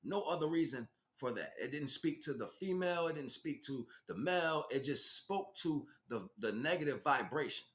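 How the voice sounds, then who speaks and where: A man's voice: medium pitch, sharp tone. A man giving an improvised spiritual lecture in an emphatic, preaching way, repeating phrases and posing rhetorical questions. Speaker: spiritual teacher.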